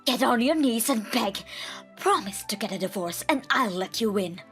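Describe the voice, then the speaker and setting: A woman's voice: fairly high pitch, lively intonation, moderate pace, fairly bright tone, soft background music, voice pushed up. A woman voicing a spiteful, domineering older mother-in-law who scolds with contempt in a narrated family drama. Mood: furious. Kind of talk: acted dialogue in narrated family drama story.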